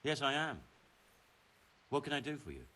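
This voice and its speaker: A man voicing a serious, impatient chief engineer in a medieval video game, speaking in a brisk, formal, businesslike way. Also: medium pitch, sing-song, sharp tone. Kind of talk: scripted video game dialogue.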